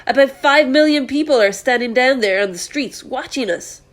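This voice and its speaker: A jittery fire chief yells in a high, climbing voice, spooked by everything he sees, as a woman voices him in a storybook reading. He blurts out every word as if the sky is falling. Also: normal speed, close to an everyday voice. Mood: panicky. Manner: excitable, yelling, voice climbing high. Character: panicky adult male fire chief, jittery.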